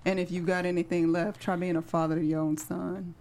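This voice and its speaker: A woman's voice: on the low side, flat delivery, brisk, a little mellow, lightly acted. A woman playing a chilly, wounded, tough bartender at a screenplay table read. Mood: cold, reproachful.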